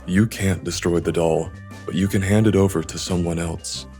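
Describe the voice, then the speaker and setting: A man voicing a remorseful, fearful loner in a visual novel dub, speaking in a measured, earnest way as he explains himself. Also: sits low, fairly even intonation, warm tone, close to an everyday voice. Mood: somber confession. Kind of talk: visual novel English fan dub, dramatic dialogue. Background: music.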